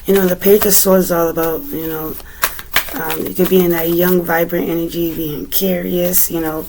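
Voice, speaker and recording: A woman with a raspy, recovering voice giving a relaxed, chatty tarot reading at home, with many fillers. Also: on the low side, moderate pace, noisy background. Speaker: tarot reader. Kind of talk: casual tarot reading to camera.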